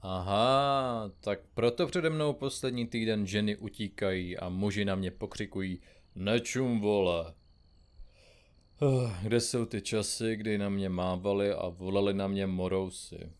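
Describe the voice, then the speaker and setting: A man playing a grumpy, stoic detective in an audio drama, grumbling in a dry, deadpan manner. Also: on the low side, clear, resonant tone, lightly acted. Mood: weary, grumpy. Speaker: detective in a comedy mystery podcast.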